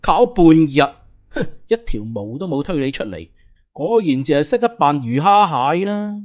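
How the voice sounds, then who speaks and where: A man's voice: fairly high pitch, big pitch swings, moderate pace, highs cut off. A man playing a hot-tempered young police assistant, scornful and combative, in an audio drama. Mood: sneering. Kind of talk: Cantonese audio drama acting.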